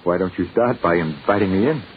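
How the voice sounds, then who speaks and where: A man's voice: deep voice, fairly quick, close to an everyday voice. An actor playing a stubborn, wealthy middle-aged father desperate for his lost daughter, in 1940s radio drama style. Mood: gentle. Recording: scratchy old radio broadcast, narrow band, noisy.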